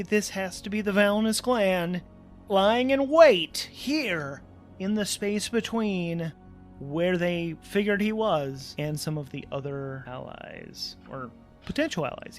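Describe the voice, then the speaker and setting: High-voiced man narrating a solo game. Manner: animated, conversational, thinking aloud. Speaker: host and solo game master. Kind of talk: solo tabletop game actual-play narration. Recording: background music under the voice. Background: music.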